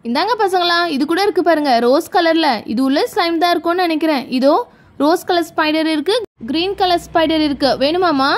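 Female hawker for children's toy play, coaxing calls, extremely high register, acted by a woman. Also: a little fast. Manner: calling out wares. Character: female street seller hawking slime to children.

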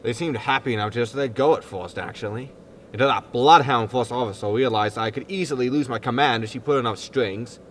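A man's voice: mid-range voice, brisk, a little hiss or hum, lightly acted. A man playing a defensive, exasperated disgraced spaceship captain, indignant and grumbling, in a sci-fi comedy audio drama. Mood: grumbling, resigned.

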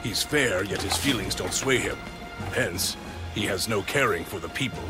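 An actor playing a stern, loyal, honorable warrior general in a video game, speaking in a formal, measured, solemn manner. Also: male voice, lowish voice, expressive, over loud music, lightly acted. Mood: grave, earnest. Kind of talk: video game cutscene acting.

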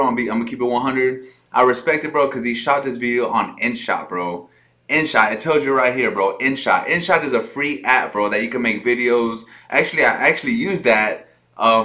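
A man's voice: medium pitch, sharp tone. A man in his thirties with an American accent, giving casual, slangy, hyped reaction commentary as a channel host. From Florida, United States. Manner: informal, hyped, uses slang and repeated "bro".

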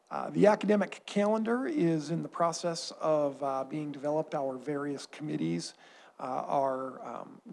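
A man giving a formal report at a school board meeting, measured and full of uh fillers, on a desk microphone in a boardroom. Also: a little high, creaky, a little mellow, a little hiss or hum. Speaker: school superintendent. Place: boardroom at a long table.

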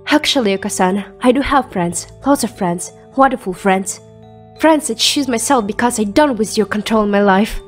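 Woman voicing a serious, reserved teenage girl, medium pitch. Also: normal speed, close to an everyday voice. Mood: defiant. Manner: terse, formal. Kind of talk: animated comic dub acting. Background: faint music.

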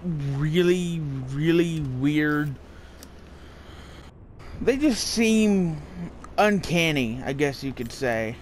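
A man's voice: fairly high pitch, lively intonation, very slow, pauses often, a little hiss or hum. A man hosting a gaming video with casual playthrough commentary, sometimes reading text aloud.

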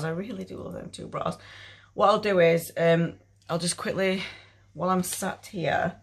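A woman's voice: fairly low pitch, slightly rough. Chatty, sweary British woman. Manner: informal, sweary, rambling. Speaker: fitness clothing reviewer. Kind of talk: casual chatty try-on review. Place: small bedroom at home.

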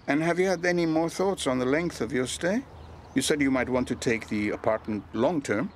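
Radio soap landlord played by a man: formal, polite, guarded, medium-pitched male voice. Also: moderate pace, a little hiss or hum, lightly acted. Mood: courteous. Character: courteous, guarded landlord and painter, faintly secretive.

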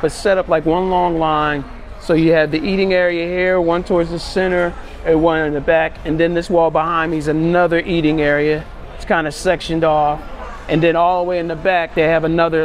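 A man in his fifties chatting casually as he reviews a restaurant buffet, listing dishes enthusiastically. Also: on the high side. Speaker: food vlogger. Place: indoor restaurant booth. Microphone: clip-on lapel mic.

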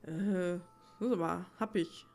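A woman's voice: fairly low pitch, steady tone, unhurried, creaky, darkish tone. A woman giving casual let's play commentary, rambling and thinking aloud as she plays. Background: faint game music.

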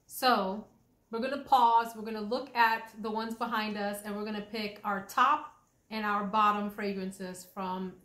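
A woman's voice: mid-range voice, steady tone, pushed and bright. A woman hosting a casual perfume review, talking in a chatty, thoughtful way. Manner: chatty, thoughtful, drawn-out pronunciations. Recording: echoey room.

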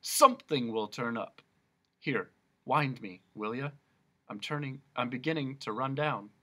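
A man voicing a gruff, loyal wind-up watchdog who talks in a growl. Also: medium pitch, unhurried, pushed and bright. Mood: gruff, reassuring.

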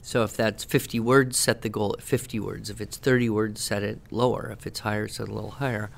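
Man explaining things in measured phrases. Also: on the low side, little pitch movement, creaky. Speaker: education expert answering questions. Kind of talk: conversational advice podcast.

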